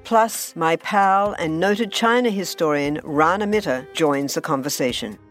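A woman's voice: low voice, unhurried. Lady journalist doing a scripted podcast trailer, music playing behind her. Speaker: foreign correspondent and podcast host. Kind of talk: scripted podcast promo narration.

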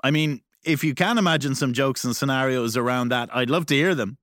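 A man's voice: medium pitch, fairly quick, fairly bright tone, no room sound, clean studio sound. A man hosting a podcast, interviewing in a casual, playful style. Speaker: podcast host.

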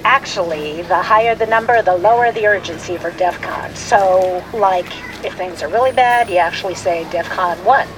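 A woman's voice: medium pitch, moderate pace, few pauses, natural voice. A woman acting in an audio drama as a polite, well-meaning, pedantic bus operator. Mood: earnest, careful. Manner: polite, earnest, literal-minded. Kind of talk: audio drama phone conversation. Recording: noisy outdoor street and vehicle ambience.

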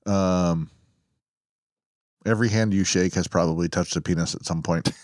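A man's voice: low-pitched, fairly even intonation, normal speed, darkish tone, no echo, clean studio sound. A man co-hosting a podcast in casual banter, joking and rambling with lots of restarts. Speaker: podcast co-host. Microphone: headphones and mic stand.

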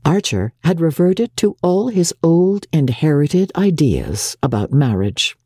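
A woman's voice: low-pitched, big pitch swings, unhurried, mellow, breathy edge, no room sound, studio quality. A woman narrator giving a dramatic audiobook reading of classic literature.

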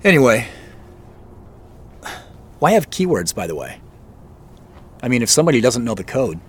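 A man's voice: mid-range voice, sing-song, normal speed, slightly rough. An actor playing an uneasy, self-deprecating businessman in a naturalistic audio drama, talking hesitantly with small chuckles. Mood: wry, chatty. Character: uneasy, wry businessman searching for answers.

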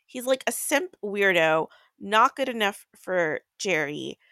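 A woman's voice: medium pitch, animated, takes it slowly, pushed and bright, dry recording, studio quality. A woman co-hosting a podcast, chatting casually about books. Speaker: co-host of a book recap podcast. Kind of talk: casual book chat.

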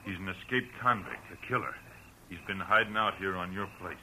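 A man's voice: low voice, fairly quick, sharp tone, poor audio. An American man playing a pushy, hot-headed schemer from the country in punchy 1940s radio drama style. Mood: urgent, greedy. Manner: insistent, punchy, plain-spoken. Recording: hissy old radio broadcast recording.

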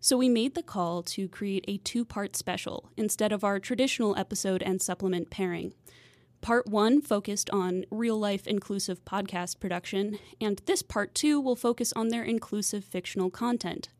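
A woman's voice: mid-range voice, moderate pace, a little mellow, no room sound. A woman hosting a podcast, delivering scripted narration in a studio. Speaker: podcast host and producer.

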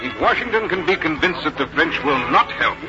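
An actor playing a suave, scheming British major, a courtly and theatrical flirt in a radio drama. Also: male voice, on the high side, big pitch swings, fairly quick. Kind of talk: 1950s radio drama acting. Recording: dull 1950s radio recording, music behind.

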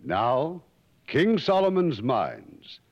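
A man's voice: mid-range voice, huge pitch swings, extremely slow, darkish tone. A man hosting a 1950s radio show, presenting a script in a formal announcer style. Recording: muffled old radio broadcast in reverberant hall.

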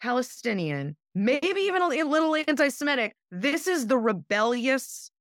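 An emphatic, indignant woman argues her point in a casual political chat and swears for emphasis. Her pitch swings widely as she talks. The recording is close and dry. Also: very animated, normal speed, no room sound. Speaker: guest commentator.

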